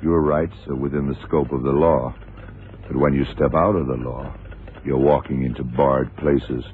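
An actor playing a calm, shrewd blind FBI investigator with a measured, probing delivery in an old radio drama. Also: male voice, rumbling low. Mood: cool, probing. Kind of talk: 1970s radio drama acting. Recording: tinny, noisy old radio recording.

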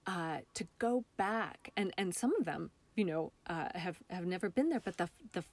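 An American woman speaking as a guest artist in a reflective interview, thoughtful and halting as she searches for words. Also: lowish voice, lively intonation, a little mellow. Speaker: guest, local artist and theatre maker.

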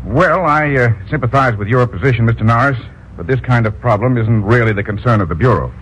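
Um ator interpretando um chefe de agência cauteloso e hesitante, em drama de rádio antigo. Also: male voice, medium pitch, a little fast, fairly bright tone, husky. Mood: cautious. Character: cautious male bureau chief. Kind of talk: 1950s radio acting. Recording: dull old radio broadcast recording.

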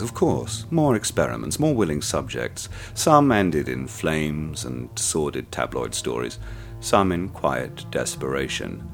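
An actor narrating as a cold, self-satisfied killer, confessing in a slow, brooding way. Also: male voice, low-pitched, exaggerated voice. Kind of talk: dark first-person narration in an audio drama.

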